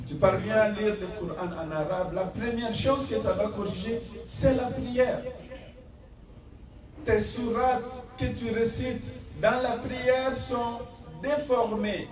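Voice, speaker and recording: A man's voice: very high-pitched, slow, a crowd in the background. A man, an imam, preaching a Friday sermon with rhetorical questions, mixing in recited Arabic verses. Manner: exhorting, rhetorical questions, rising emphasis. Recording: echoey hall from far off.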